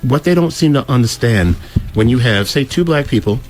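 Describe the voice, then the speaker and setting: A man's voice: mid-range voice, lively intonation, moderate pace, rough recording. A man giving an opinionated, explanatory talk with anecdotes in an emphatic way, acting out remarks he quotes.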